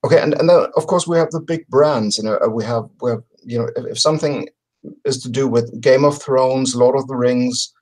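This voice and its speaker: Man, forties, mid-range voice, halting casual explanations, thinking aloud, video call. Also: mid-range voice, a little mellow. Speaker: podcast guest. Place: home room with bookshelves. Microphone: computer mic on a video call.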